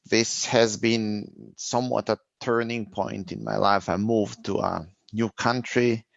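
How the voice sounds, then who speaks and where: Middle-aged man, interview guest, reflective and halting, dry muffled sound. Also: on the low side, vocal fry, no room sound. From Serbia. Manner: thoughtful, searching for words, frequent restarts. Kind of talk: conversational interview answers.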